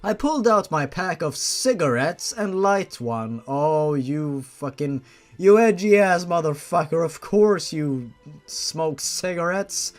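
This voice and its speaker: Sarcastic male reader, higher register, very lively, dramatic narration with exasperated rants, music underneath. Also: slow pace. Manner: sarcastic, foul-mouthed, exasperated. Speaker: host reading fan fiction aloud. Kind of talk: dramatic story reading with ranting asides.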